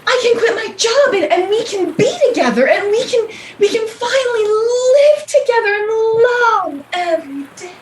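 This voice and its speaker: Very high voice, swooping intonation, lovestruck, eager, gushing, radio play. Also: female voice, very animated, moderate pace. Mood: pleading, eager. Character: pleading, lovestruck woman dreaming of her lover. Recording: faint background noise.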